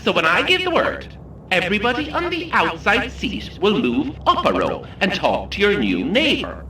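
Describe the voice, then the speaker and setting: An actor playing a sardonic, menacing coach tour guide in an audio horror comedy, taunting like a showman with dramatic pauses. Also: high-pitched, wildly swooping pitch, pushed and bright, echoey room, far from the mic, a little hiss or hum, full character voice. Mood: gleeful explaining.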